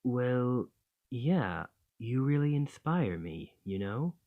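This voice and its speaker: A man voicing a gentle, bashful dragon in a romantic ASMR roleplay, tender and hesitant, doting on a partner. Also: mid-range voice, big pitch swings, slow pace, narrow, tinny audio. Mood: flustered, embarrassed. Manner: flustered, hesitant, tender, with sighs and stammers.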